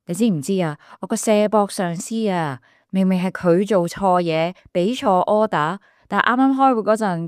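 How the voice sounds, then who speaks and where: An actress with a Hong Kong Cantonese accent playing a chatty young office worker in a radio drama, casual and expressive with a friend. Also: female voice, on the low side, clean tone, dry recording, clean studio sound, natural voice.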